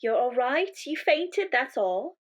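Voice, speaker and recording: A woman playing a brisk, soothing hospital nurse in a British radio comedy. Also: high voice, big pitch swings, full character voice.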